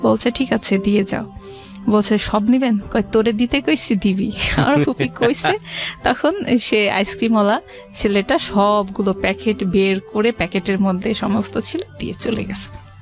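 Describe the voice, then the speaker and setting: A woman's voice: mid-range voice, normal speed. A woman from Rajshahi with a Bangladeshi accent, telling her life story conversationally as a radio guest and mixing in English words. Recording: background music under the voice. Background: music.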